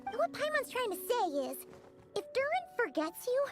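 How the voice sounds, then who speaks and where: A woman voicing a tiny, childlike, excitable fairy companion in a video game, with a squeaky voice and bossy manner. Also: moderate pace. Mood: earnest. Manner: refers to self in third person, exclaiming. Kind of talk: video game character acting. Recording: loud game music behind.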